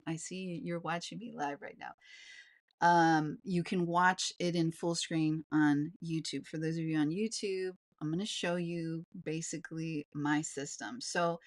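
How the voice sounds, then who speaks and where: Woman, 40s, lowish calm voice; earnest live-stream coach who repeats words and restarts. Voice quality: calm, fairly even voice. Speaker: business coach.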